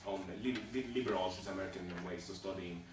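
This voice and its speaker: Man, job applicant, halting speech, lowish voice, very echoey room, far from mic, background noise. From Sweden. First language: Swedish. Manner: halting, many fillers and restarts. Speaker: job applicant in a practice interview. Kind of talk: hesitant interview answers.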